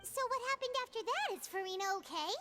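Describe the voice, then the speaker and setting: Tiny chatty fairy sidekick from a game, squeaky high, bouncy childlike delivery. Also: female voice, fast, over music. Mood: concerned. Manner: refers to herself by name, expressive and childlike. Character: small childlike floating fairy companion, chatty and curious. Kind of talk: video game voice acting.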